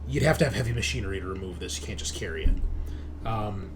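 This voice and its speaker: A man's voice: fairly low pitch, fairly quick, noisy background. A man running a tabletop sci-fi game as game master, describing scenes conversationally and correcting himself as he improvises.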